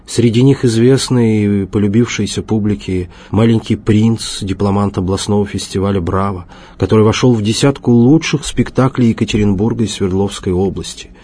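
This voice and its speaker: A man's voice: on the low side, warm tone, husky. A man reading scripted narration for a radio documentary.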